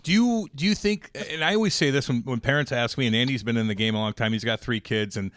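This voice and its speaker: A man's voice: medium pitch, fast, fairly bright tone, no echo, clean studio sound. A man co-hosting a podcast, who jokes in a brash way and swears freely. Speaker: podcast co-host. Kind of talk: casual banter.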